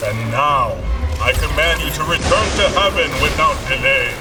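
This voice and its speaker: A man voicing a stern celestial authority in an animated film, formal and imperious. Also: fairly high pitch, moderate pace, natural voice. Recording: distant voice under loud storm music.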